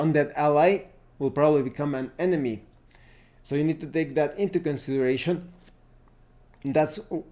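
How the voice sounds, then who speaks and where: A man's voice: medium pitch, dark tone. A man giving an unscripted explanation to viewers as a tabletop gaming host, thinking aloud and often restarting his phrases.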